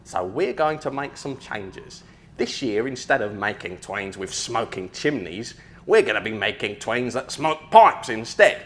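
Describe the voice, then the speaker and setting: A man playing an earnest, bumbling model train company man who presents in a halting way, in a mock corporate comedy. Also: medium pitch, very animated, a bit bright. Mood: zealous.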